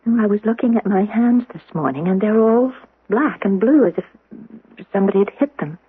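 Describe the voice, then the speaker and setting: Confused young woman, breathy, faltering, sweet, dry close sound, narrow old radio broadcast, 1970s drama. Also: medium pitch, animated, normal speed, no room sound. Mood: puzzled.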